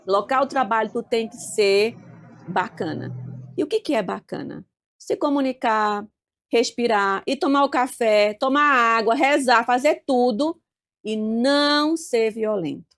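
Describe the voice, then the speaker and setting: A woman's voice: on the high side, unhurried. A woman from Northeast Brazil with a Northeastern accent, giving emphatic coaching-style advice as a studio guest and stretching out key words. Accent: Northeastern Brazilian Portuguese. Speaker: guest speaker. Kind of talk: motivational studio talk.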